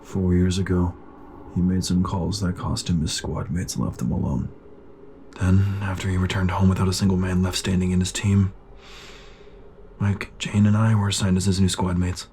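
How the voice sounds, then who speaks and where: A man's voice: low voice, fairly even intonation, normal speed, creaky, dark tone, slight background noise. A man playing a bitter, battle-worn shock trooper sergeant in a sci-fi audio drama, terse and brooding. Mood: subdued, reflective.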